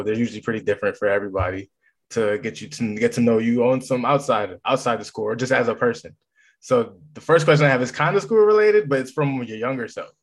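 An American man hosting a show, speaking informally in a casual, chatty interview style. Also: medium pitch. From Massachusetts, United States. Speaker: show host.

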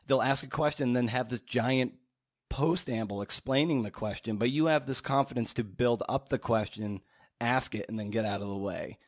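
A man hosting a casual interview, speaking haltingly and circling around as he builds his questions. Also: mid-range voice, no room sound, studio quality. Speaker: podcast interviewer, host.

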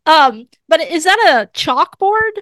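A woman's voice: very high-pitched, big pitch swings, slow pace, fairly bright tone, dry recording, clean studio sound. A congested woman co-hosting a podcast, chatty and opinionated as she leads a casual conversation from her home office. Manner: chatty and opinionated, leading the talk. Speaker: podcast co-host. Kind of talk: casual chat.

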